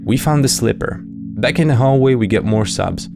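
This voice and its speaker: A man's voice: mid-range voice, lively intonation, moderate pace, vocal fry. A man giving scripted video commentary as a host, with casual, wry asides. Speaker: host of a horror series breakdown video. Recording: music behind the voice.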